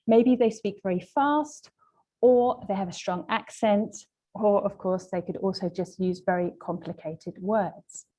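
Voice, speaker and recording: A woman presenting a webinar lesson as a language trainer, explaining points in a polite, measured, semi-scripted way. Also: mid-range voice, mellow.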